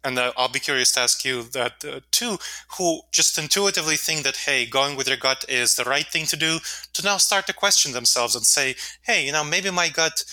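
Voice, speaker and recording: A man whose first language is Russian answers podcast questions in an earnest, explanatory way. His voice is very bright and forward. The recording is dry and close, with no room sound. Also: a little high, moderate pace, dry recording, poor audio. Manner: earnest, explanatory, with frequent fillers. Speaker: author and podcast guest.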